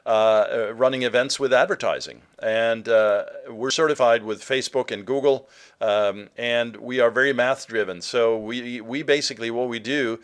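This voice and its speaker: Male webinar presenter from Norway, medium pitch, conversational sales-teaching delivery with hesitations and fillers. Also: steady tone, moderate pace, smooth. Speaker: presenter, digital marketer.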